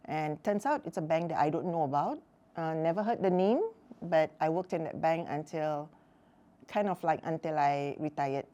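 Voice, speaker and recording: A woman in her sixties, a mother on a talk show, telling stories from her life in a relaxed, chatty way with many fillers. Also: low voice. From Malaysia. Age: 60s. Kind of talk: casual chat.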